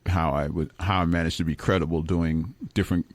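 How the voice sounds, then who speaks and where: A man with an extremely low, deep voice talks at length as a radio guest, circling back and restarting his thoughts as he goes. The studio sound is close and dry, with no room echo. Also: steady tone, fairly quick. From Brooklyn, New York. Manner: rambling, self-correcting, chuckles now and then. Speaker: guest musician. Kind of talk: relaxed radio interview talk.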